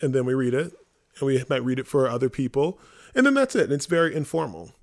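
A man talking casually on a podcast as one of the hosts, informal and animated, often acting out quotes. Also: medium pitch, fast. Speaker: theater company member and podcast host.

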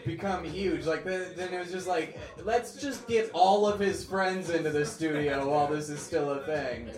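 A man's voice: on the high side, moderate pace, roomy sound, a crowd in the background. Joking, laid-back male podcast host. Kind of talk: casual chat.

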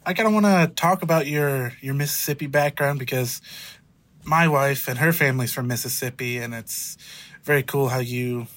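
A man hosting a podcast interview, upbeat and quick with short affirmations. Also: medium pitch, fairly bright tone. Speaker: podcast host. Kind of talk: casual interview.

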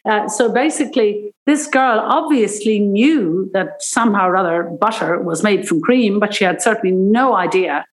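A woman's voice: medium pitch, moderate pace, hardly any pauses, low-quality recording. Woman, 70s, Irish accent, interview guest, anecdotal, rambling, acts out quoted lines. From Ireland. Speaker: cooking school founder and author.

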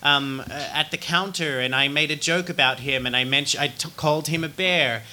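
A man co-hosting a podcast before a live audience, excitable and theatrical, quick to laugh. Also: fairly high pitch. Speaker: podcast co-host.

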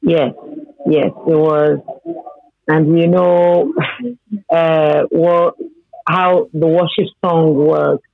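Female gospel artist calling in, gravelly and hesitant, phone-quality audio with faint music behind. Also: deep voice, fairly even intonation, dry recording. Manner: halting, hesitant. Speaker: gospel singer, guest.

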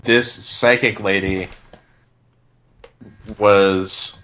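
A man's voice: fairly low pitch, fairly even intonation, very slow, bright, forceful tone, low-quality recording. A man co-hosting a podcast with casual banter and a blunt, sweary way of talking. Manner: blunt, profane. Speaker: podcast co-host.

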